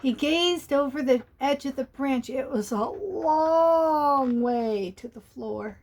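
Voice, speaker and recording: A woman's voice: sits high, slow, a little mellow. A woman reading a children's picture book aloud in a sing-song way.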